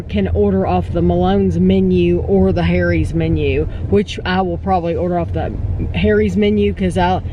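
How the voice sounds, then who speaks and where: A woman's voice: on the low side, muffled recording. A woman, chatting animatedly for a casual vlog. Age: 40s. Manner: chatty, animated, laughs easily. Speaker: vlogger. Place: in a car, then a store and a restaurant. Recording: noisy car and store background.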